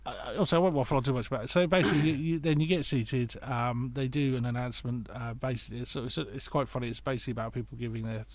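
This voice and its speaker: A man's voice: mid-range voice, fairly even intonation, rapid-fire, few pauses. A British man chatting casually as a podcast guest, telling an anecdote in a rambling, self-interrupting way.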